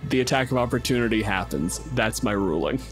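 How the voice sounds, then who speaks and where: A man's voice: fairly low pitch, expressive, brisk. A man speaking casually as game master, narrating a tabletop role-playing scene and making rulings, sometimes swearing. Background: music under the voice.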